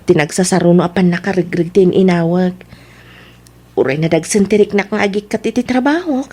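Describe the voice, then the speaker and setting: A woman's voice: lowish voice, a little fast, dark tone, breathy edge. A Filipino woman acting in an audio drama as a troubled, regretful wife and schoolteacher. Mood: earnest.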